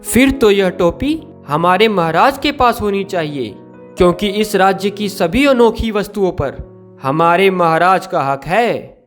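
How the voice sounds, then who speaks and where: A man playing a bossy, officious royal minister in a cartoon story, loud and commanding. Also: high voice, expressive, unhurried, soft background music. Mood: challenging.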